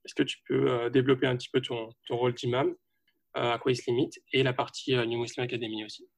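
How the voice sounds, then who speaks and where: A man's voice: medium pitch, level tone, quick pace, vocal fry. A man hosting a podcast, asking his guest questions in a friendly, encouraging way. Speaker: podcast host. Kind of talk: interviewing a guest.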